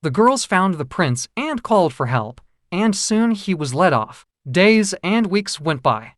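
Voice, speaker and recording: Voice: medium pitch, sing-song, a little slow, dry recording, studio quality. A storyteller narrating a children's fairy tale from a script.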